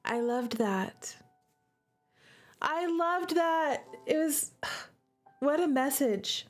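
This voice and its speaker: A woman's voice: high voice, expressive, drawn-out words, soft background music. A woman commenting on a livestream to her viewers, gushing and emphatic, repeating phrases for effect. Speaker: streamer playing a visual novel.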